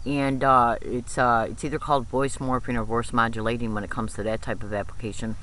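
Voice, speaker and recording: Guy with a sharp bright voice explaining stuff really insistently, repeats himself, some noise behind him. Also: mid-range voice, flat delivery, fairly quick. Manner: insistent, repetitive explaining with frequent okay check-ins. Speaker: video host. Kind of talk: explanatory monologue to camera.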